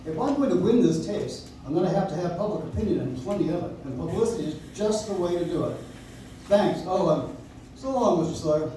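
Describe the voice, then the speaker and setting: High-voiced, shrewd lawyer played by a man. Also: animated, brisk, warm tone. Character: shrewd, confident defense lawyer. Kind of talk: 1940s radio play acting on stage. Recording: echoey, distant, noisy audience-member recording.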